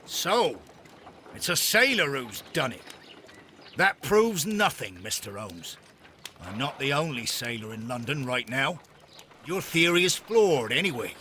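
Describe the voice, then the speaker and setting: An actor playing a proud, defiant Victorian sea captain in a video game, formal and blustering in manner. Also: male voice, medium pitch, expressive, unhurried, sharp tone, slight background noise. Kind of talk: video game cutscene acting.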